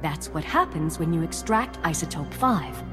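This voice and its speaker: A woman's voice: sits low, lively intonation, moderate pace. A woman playing a defiant, exasperated mining executive, emphatic and urgent, in a video game. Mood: desperate, exasperated. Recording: reverberant hall, faint music behind.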